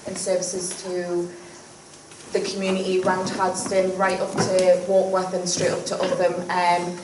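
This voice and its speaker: Young woman, lowish voice, flat tone, lots of ums, echoey hall, far from mic. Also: a little slow. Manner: hesitant, frequent ums. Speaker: election candidate. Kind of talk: public hustings speech. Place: public meeting hall. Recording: roomy hall, distant mic, faint noise.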